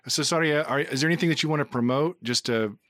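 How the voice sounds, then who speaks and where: A man's voice: on the high side, fast talker, a bit bright, no echo, studio quality. A man hosting a casual podcast interview in an informal, effusive, rambling way. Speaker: podcast host.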